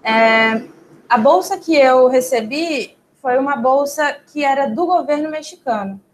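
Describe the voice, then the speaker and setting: A young woman with a Brazilian Portuguese accent and a notably high voice, talking as an interview guest. She explains things at an easy, conversational pace, and often stops on a short filler sound or restarts a word before going on. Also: unhurried, slight background noise. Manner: relaxed and explanatory, with frequent "é" fillers and small restarts. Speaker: interview guest, doctoral student. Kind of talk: casual interview storytelling.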